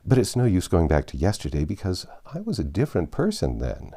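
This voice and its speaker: Storybook little girl, male reader performing: timid, polite, puzzled, mellow tone, very lively intonation. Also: sits low, a little fast, full character voice. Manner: polite, hesitant. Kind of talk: storybook character voice.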